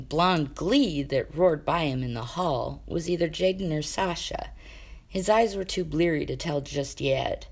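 A woman reading a scripted story aloud as an expressive narrator. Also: deep voice, creaky. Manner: expressive, acts out dialogue. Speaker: amateur audiobook reader of fan fiction.

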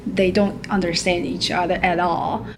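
A woman from Taiwan, a language professor speaking slowly and carefully as she demonstrates in an interview. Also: lowish voice, little pitch movement, vocal fry, background noise. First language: Mandarin.

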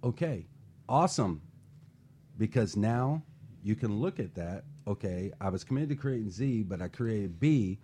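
A man's voice: lowish voice, lively intonation, a little slow, warm tone, breathy edge. A man hosting a talk show as a life coach, speaking earnestly and encouragingly through examples. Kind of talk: motivational talk-show chat.

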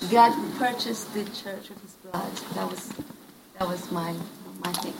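A woman's voice: medium pitch, fairly even intonation, measured pace, gravelly. Distant Brazilian woman in an audience. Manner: brief contributions from the audience. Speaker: workshop participant. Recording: distant audience mic with noise.

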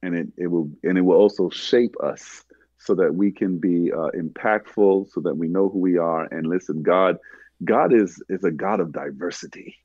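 Male host, very low dark voice, dry muffled sound, earnest church panel moderator. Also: normal speed, no echo. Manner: earnest, asks long rambling questions.

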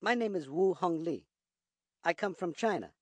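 A man's voice: high-pitched, expressive, normal speed, no echo. A man playing a polite radio caller from China in scripted English-teaching dialogue. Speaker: phone-in caller.

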